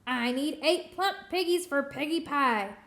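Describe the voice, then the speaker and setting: A woman's voice: sits high, lively intonation, a little slow, a bit bright, heavily acted. A woman voicing a greedy old witch who screeches and cackles. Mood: shrill.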